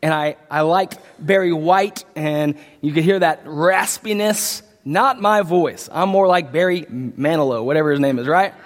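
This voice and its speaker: Man pastor, high voice, sick and a bit husky, earnest preaching, long hall reverb. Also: a little slow, few pauses. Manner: earnest, stumbling restarts, rising emphasis. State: sick, voice deeper than usual. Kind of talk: verse-by-verse sermon.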